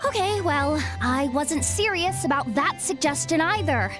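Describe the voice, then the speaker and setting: High-voiced, flustered young informant. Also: female voice, measured pace, over music. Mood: exasperated. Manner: expressive, grumbling. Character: young woman informant, exasperated, reluctant, easily flustered. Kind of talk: English video game cutscene voice acting.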